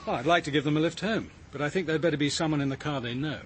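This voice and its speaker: An actor playing a thoughtful, reserved detective in a radio drama, speaking politely and with understatement in a British accent. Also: male voice, fairly high pitch, racing, a little hiss or hum, natural voice. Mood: composed, tactful. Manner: polite, understated, gently hesitant.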